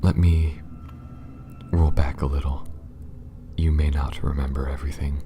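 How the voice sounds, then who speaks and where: A man playing a gentle, apologetic alien pilot in an ASMR audio roleplay, speaking softly, often near a whisper, in a careful, halting, formal and reassuring way. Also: flat delivery. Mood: apologetic, explaining. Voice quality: soft, very low, breathy, often near whisper. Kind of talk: ASMR audio roleplay, scripted monologue addressed to listener.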